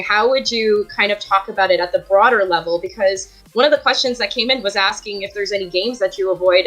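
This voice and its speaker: Relaxed young woman hosting a stream. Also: mid-range voice, rough recording. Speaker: stream co-host. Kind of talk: interview hosting.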